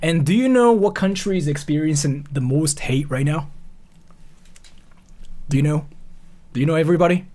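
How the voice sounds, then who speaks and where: A young man from Japan chatting casually to his audience as a streamer, animated and rambling, repeating phrases and swearing freely. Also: on the high side, normal speed, pauses often. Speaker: streamer reacting to videos. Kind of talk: casual chat commentary to an audience.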